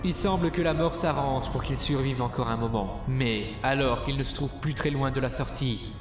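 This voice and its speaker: A man's voice: medium pitch, moderate pace. Roomy male narrator over prominent music. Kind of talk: scripted audio drama narration.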